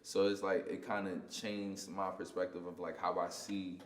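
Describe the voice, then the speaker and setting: Deep-voiced laid-back New man. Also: level tone, moderate pace, very noisy. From United States. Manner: laid-back slangy talk with frequent "like". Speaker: spoken word poet guest. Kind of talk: casual interview chat. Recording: echoey room.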